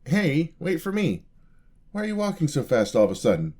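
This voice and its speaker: A man's voice: on the high side, big pitch swings, brisk, a little mellow. A man playing an eager, charming young apprentice paladin in a fantasy ASMR roleplay, talking to the listener in a polite, easily flustered way. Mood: startled, boastful. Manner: polite, flustered, hesitant, chatty.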